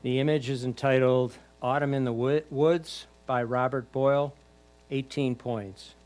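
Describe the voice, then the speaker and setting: A man's voice: mid-range voice, steady tone, unhurried, darkish tone. A man announcing image titles, names and scores at a club competition.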